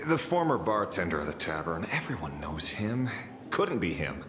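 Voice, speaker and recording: A man playing a shrewd, composed private detective in a video game, speaking in a measured, dry way. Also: sits low, big pitch swings, background noise. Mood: pensive. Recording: echoey, over music.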